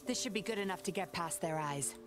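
A woman's voice: lowish voice, fast talker, close to an everyday voice. A woman voicing a sly, composed young woman who teases and stays in control, in a game with music behind. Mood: smooth deflecting. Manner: smooth, teasing, in control.

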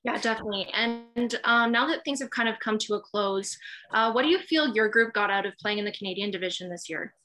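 Bright-voiced woman reporter asking questions. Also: fairly high pitch, fairly quick, poor audio. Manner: polite, hesitant with fillers. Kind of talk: press conference questions.

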